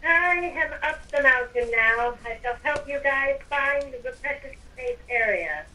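A woman's voice: high-pitched, measured pace, pushed and bright, far from the mic, rough recording. A woman playing a helpful guide with formal, quaint speech in an improvised game roleplay. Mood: instructive.

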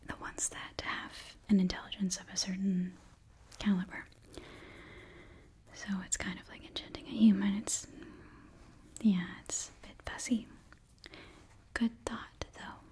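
A young woman playing an earnest, flustered witch librarian in a whispered, breathy ASMR roleplay, speaking haltingly close to the microphone. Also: mid-range voice. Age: young adult. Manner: hushed, halting, fussy. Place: small indoor set, close to microphone.

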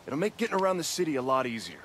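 A man's voice: medium pitch, huge pitch swings, rapid-fire, slight background noise. An actor playing a sensible, cautious American man in a video game, reasoning with exasperation. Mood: cautious, exasperated. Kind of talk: video game cutscene acting.

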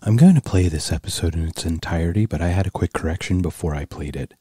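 A man's voice: deep voice, fast talker, mellow, breathy edge, no room sound, studio quality. A man hosting a podcast, chatting casually and telling stories in a playful, joking way. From Philadelphia area. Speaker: podcast host.

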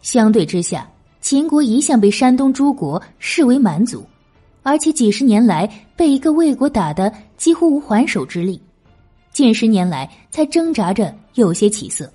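Lady reading an audiobook novel, soft mellow husky voice, really lively intonation. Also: on the high side, normal speed, faint music behind. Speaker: audiobook narrator. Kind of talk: scripted novel narration.

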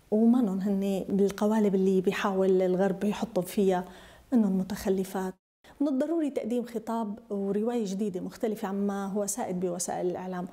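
A woman's voice: medium pitch, dark tone. A middle-aged woman journalist with a Syrian Arabic accent, speaking in a documentary interview.

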